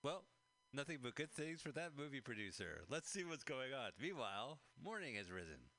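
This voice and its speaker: Joking man with a bright voice. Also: medium pitch, very animated, normal speed. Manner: jokey, rambling, chuckling asides. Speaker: radio co-host. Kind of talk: casual movie commentary chat.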